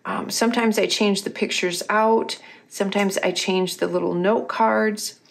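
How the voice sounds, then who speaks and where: A middle-aged woman, a little husky and losing her voice, chatting casually as she walks through her planner pages. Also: mid-range voice, fairly even intonation, a little slow. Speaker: craft company host. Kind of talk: casual craft tutorial voice-over.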